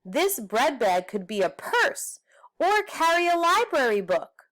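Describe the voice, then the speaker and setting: Woman voicing an indignant, high-pitched bossy young girl. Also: very animated, a little slow, fairly bright tone, heavily acted. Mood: earnest.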